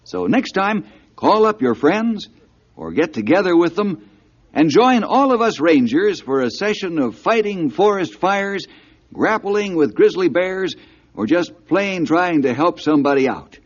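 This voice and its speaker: A man's voice: sits high, sing-song, a little slow, full character voice. An actor playing a hearty male ranger leader who also hosts a 1950s radio adventure show. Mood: friendly, upbeat. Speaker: lead character and host. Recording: dull lo-fi 1950s radio recording.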